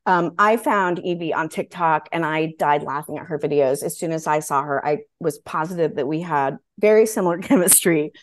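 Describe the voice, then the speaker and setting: A woman in her forties with a somewhat low voice hosts a relaxed conversation. She talks informally and candidly, putting emphasis on her points. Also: moderate pace, few pauses. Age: 40s. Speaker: podcast host. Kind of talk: casual chat.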